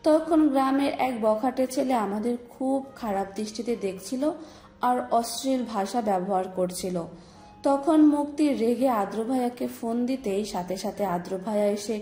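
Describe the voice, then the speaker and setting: A woman's voice: on the high side, normal speed, dark tone, natural voice. A woman playing a polite, respectful young village woman who speaks frankly in an audio drama. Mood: earnest, explaining. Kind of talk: audio-story dialogue acting. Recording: slightly roomy recording.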